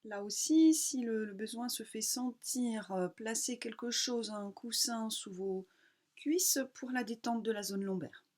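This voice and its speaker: Calm woman guiding a relaxation session. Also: a little high. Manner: calm, unhurried, instructing in short phrases with pauses. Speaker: yoga nidra teacher. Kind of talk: guided relaxation meditation.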